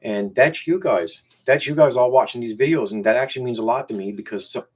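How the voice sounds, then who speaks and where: A man with a strong Newfoundland accent, hosting a casual live stream and chatting in a halting way, often repeating words and restarting. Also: mid-range voice, husky. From Newfoundland, Canada. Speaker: YouTube channel host. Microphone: camera used as webcam.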